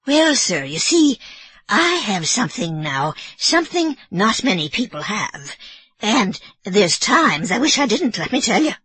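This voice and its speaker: A woman's voice: mid-range voice, big pitch swings, measured pace, fairly bright tone, husky, no echo, voice pushed up, full character voice. A woman voicing an anxious elderly housekeeper woman with an Irish brogue, apologetic and deferential. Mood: hesitant. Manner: apologetic, deferential, sir-ing constantly.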